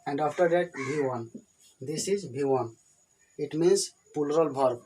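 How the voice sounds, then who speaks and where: An Indian-accented man, a native Hindi speaker, gives a whiteboard lesson on English grammar. His voice sits a little high for a man. He talks in simple phrases and goes over each point again and again. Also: a little slow, a little mellow, a little hiss or hum, poor audio. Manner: repetitive, plain explanations. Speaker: English teacher.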